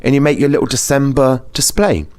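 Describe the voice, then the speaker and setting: A man's voice: medium pitch, fairly quick, darkish tone, clean tone. A British man hosting a podcast in casual conversation, chatty and informal, thinking aloud as he goes. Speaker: podcast host. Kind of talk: casual chat.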